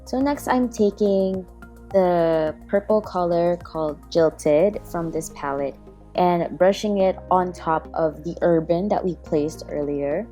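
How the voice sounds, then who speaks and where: A woman's voice: low voice, mellow. A woman giving a casual makeup tutorial voice-over in a chatty, self-deprecating way. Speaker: beauty vlogger. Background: background music.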